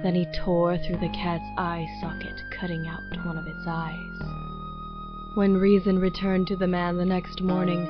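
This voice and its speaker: A woman playing a solemn storyteller, narrating a gothic horror audio drama in a measured, scripted manner. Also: fairly low pitch, monotone, lightly acted. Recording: dull lo-fi audio, loud background music.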